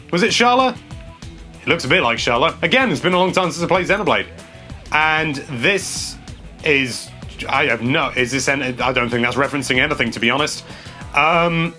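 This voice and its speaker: Young games presenter, rambling and self-mocking, bright tone. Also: male voice, fairly high pitch. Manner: chatty, self-mocking, thinking aloud. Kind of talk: casual gameplay commentary.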